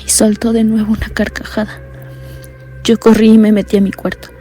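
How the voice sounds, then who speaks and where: A woman narrating a horror radio drama in a hushed, fearful first-person telling. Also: medium pitch, flat delivery, a little fast, faint music behind.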